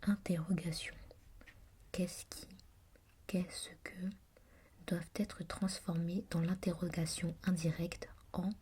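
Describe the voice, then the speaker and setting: Whispering woman, soft and musing. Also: lowish voice, flat delivery, breathy edge. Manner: whispering throughout; soft and musing, pausing to comment. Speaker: reader. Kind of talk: whispered reading of a language book.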